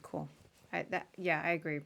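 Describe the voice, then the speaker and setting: Casting: female podcast host, slightly low voice, informal and chatty, leads with questions. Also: little pitch movement, normal speed, gravelly, a bit bright. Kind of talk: casual live interview.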